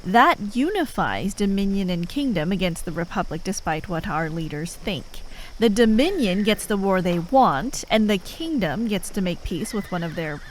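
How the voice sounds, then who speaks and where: A woman playing an exasperated, sharp-tongued but reluctantly caring enemy operative in a fantasy audio roleplay, sardonic, speaking in short phrases with pauses. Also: mid-range voice, expressive, a little hiss or hum, close to an everyday voice, rough recording. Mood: reasoning, grave. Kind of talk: fantasy audio roleplay acting, addressed to a listener.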